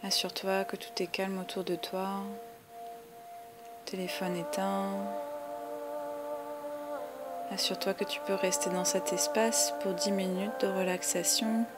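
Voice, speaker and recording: A woman guiding a yoga relaxation meditation with calm, soothing, measured instructions and long pauses. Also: mid-range voice. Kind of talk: guided meditation, slow spoken body-scan instructions. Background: music.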